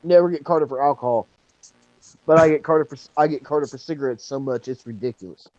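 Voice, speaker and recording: A man's voice: on the high side, a little fast, a little mellow, no echo, muffled recording. A man in his thirties chatting casually, rambling with lots of fillers and repeating himself. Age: 30s. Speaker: support group member. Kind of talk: casual chat.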